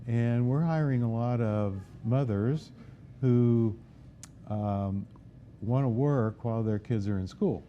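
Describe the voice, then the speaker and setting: A man being interviewed about his organization, speaking plainly and hesitantly with many pauses. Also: mid-range voice, lo-fi sound, slight background noise. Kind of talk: casual interview chat.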